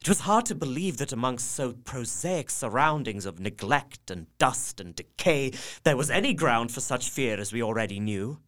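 A man's voice: medium pitch, animated, normal speed, few pauses, fairly bright tone. A man narrating a gothic drama in the first person, earnest and heartfelt. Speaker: narrator and young husband character.

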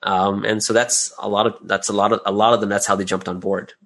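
Male, lower-pitched, conversational guest explaining things in a halting, think-aloud way. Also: little pitch movement, a bit bright. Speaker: interview guest, audio drama creator and business owner. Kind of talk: casual conversational interview answers.

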